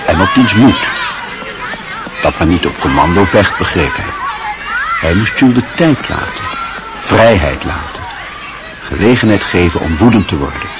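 A man narrating a Dutch radio play in a measured, literary storytelling manner. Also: sits low.

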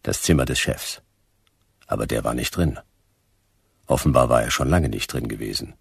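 A man playing a laconic hard-boiled private detective in a radio drama, narrating in a dry, clipped, deadpan way with a gravelly voice. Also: very deep voice, moderate pace, no echo, full character voice.

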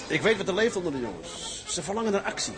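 A man's voice: high voice, big pitch swings, fast, music in the background. A man playing a stern, lonely, principled tugboat captain in a radio drama, speaking earnestly and tersely. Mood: brooding. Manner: earnest, terse, matter-of-fact. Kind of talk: Dutch radio drama acting.

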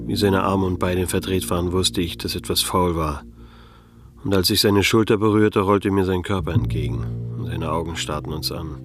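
A man as a low-voiced, terse private detective. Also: steady tone, moderate pace, lightly acted. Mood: sober, tense. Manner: terse, sober. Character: world-weary male private detective narrating his own case. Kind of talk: noir radio drama narration and dialogue. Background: music.

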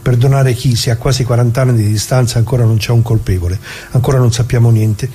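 An actor playing a dead retired working-class man, bitter and plain-spoken, addressing listeners in a halting, repetitive theatrical radio monologue. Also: medium pitch, fairly even intonation, warm tone, close to an everyday voice. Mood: grave, bitter. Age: older.

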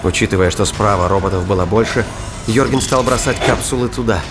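A man reading scripted narration of a science fiction thriller as a dramatic, deliberate storyteller. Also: on the low side. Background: music and battle sound effects.

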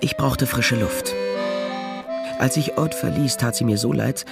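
An actor playing a world-weary private detective who narrates a crime story in a measured, sombre radio drama style. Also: male voice, medium pitch, gravelly, over loud music. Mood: reflective. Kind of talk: scripted first-person radio drama narration.

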